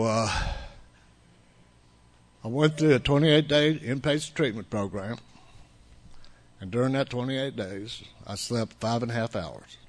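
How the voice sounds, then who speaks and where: Low-voiced man in his seventies, rambling testimony. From United States. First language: Italian. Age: 70s. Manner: rambling, blunt storytelling with restarts. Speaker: speaker sharing his recovery story. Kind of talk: personal testimony to an audience.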